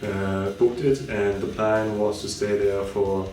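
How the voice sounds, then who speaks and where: A man's voice: on the low side, little pitch movement, slow. Young guy, sounds far off in an echoey room. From northern Germany. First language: German. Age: young adult. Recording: roomy, distant mic. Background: loud music.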